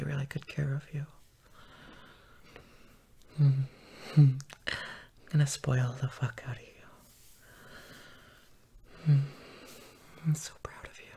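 A man speaks in a tender, intimate roleplay style, half-whispering with a gravelly, creaky edge. His delivery is slow and softly commanding, broken by low hums. Faint noise and small clicks sit behind the voice. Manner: tender, gently dominant, slow murmuring with soft hums. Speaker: audio roleplay performer.

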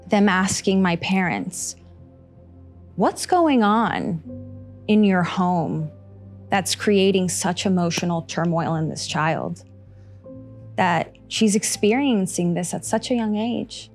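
Woman in her 30s, heartfelt stage monologue, handheld mic, faint music underneath. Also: medium pitch. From Costa Rica. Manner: slow, earnest, heartfelt delivery with pauses. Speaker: storyteller on stage. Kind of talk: personal story monologue. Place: small stage in front of seated audience.

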